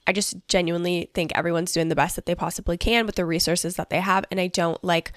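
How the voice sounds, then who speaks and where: A woman's voice: lowish voice, steady tone, fast talker, dry recording, studio quality. A young woman hosting a casual solo podcast, chatty and upbeat, talking with a stuffy nose from allergies. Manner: bubbly, chatty, fillers like "like" and "honestly". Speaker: podcast host.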